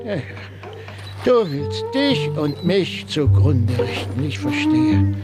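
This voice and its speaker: An older man acting a fearful, fretful old village priest in a radio play, anxious, pleading and halting. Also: on the high side, very animated, loud music behind the voice.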